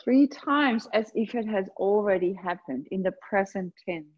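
A woman leading a guided meditation, speaking gently and unhurriedly in short phrases with long pauses between them. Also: mid-range voice, slightly rough, highs cut off. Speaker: yoga nidra meditation guide. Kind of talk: guided meditation, slow soothing instruction.

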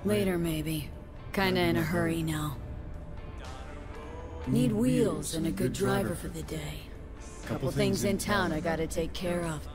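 A woman's voice: fairly low pitch, unhurried, long pauses, music in the background, lightly acted. A woman playing a blunt, worldly street musician who mentors a boy, talking in terse, matter-of-fact phrases in a video game. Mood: brisk. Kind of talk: naturalistic video game acting.

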